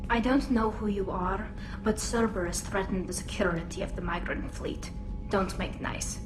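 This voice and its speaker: A woman's voice: medium pitch, normal speed, echoey room, music in the background, close to an everyday voice, rough recording. An actress voicing a proud, wary young female alien engineer in a video game, with a pointed, dryly defiant manner. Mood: distrustful, defiant. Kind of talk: video game cutscene acting.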